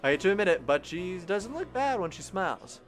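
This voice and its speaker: Brief: young male streamer, lively swooping pitch, jokey visual-novel read, soft music under. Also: brisk. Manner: animated, giggly, with joking asides. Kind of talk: casual game narration.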